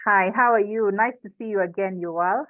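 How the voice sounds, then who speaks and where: A woman's voice: mid-range voice, moderate pace, dry recording. A woman with a Kenyan accent, informally hosting and moderating an online session and introducing panelists. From coastal Kenya. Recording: thin phone-like online call audio.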